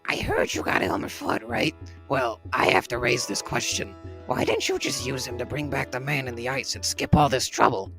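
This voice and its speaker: A man's voice: mid-range voice, big pitch swings, moderate pace, over music. A man voicing a nervous, deferential flying henchman who pleads with his boss in an amateur cartoon dub. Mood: apologetic. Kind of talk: amateur comic dub character acting.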